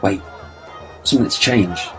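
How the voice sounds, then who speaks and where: A man's voice: on the low side, slow, a bit bright. A man playing a clever, self-assured time traveller in a fan audio drama, explaining things confidently. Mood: brisk, puzzled. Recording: music bed behind voice.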